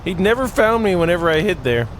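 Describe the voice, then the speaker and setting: Man voicing an anxious, high-pitched little girl. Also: big pitch swings, fast talker, slight background noise, poor audio. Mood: wistful. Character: anxious young girl, sleepy, sheltering from a winter storm. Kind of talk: visual novel character read aloud.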